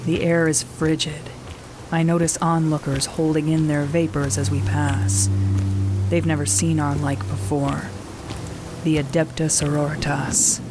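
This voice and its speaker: A woman voicing a weary veteran warrior nun, narrating in first person with a calm, measured, commanding manner. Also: low-pitched, noisy background. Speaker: narrator and squad leader. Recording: music bed under the voice.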